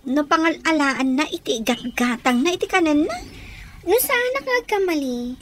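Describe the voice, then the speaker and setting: Very high-pitched gossipy young woman. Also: expressive, moderate pace. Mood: scornful chatting. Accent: Ilocano. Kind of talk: Ilocano radio drama acting.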